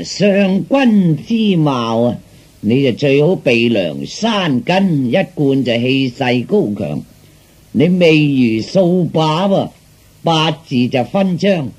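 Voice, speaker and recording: An actor playing a grandiose, flattering street fortune teller who haggles slickly, in declamatory sing-song patter of comic storytelling. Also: male voice, fairly high pitch, slightly rough, exaggerated voice. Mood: boastful flattery. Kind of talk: theatrical Cantonese comic storytelling.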